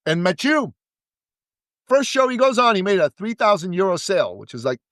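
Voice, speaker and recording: High-voiced emphatic man pitching. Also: big pitch swings, moderate pace, a bit bright, no room sound, studio quality. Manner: emphatic, repeats words for stress. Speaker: podcast guest, business coach. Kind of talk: energetic sales pitch.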